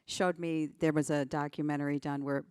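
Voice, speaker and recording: A woman's voice: low-pitched, flat delivery, fairly quick, a little mellow, clean tone, no echo, studio quality. A woman on a stage panel sharing stories and ideas into a handheld mic. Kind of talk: panel discussion.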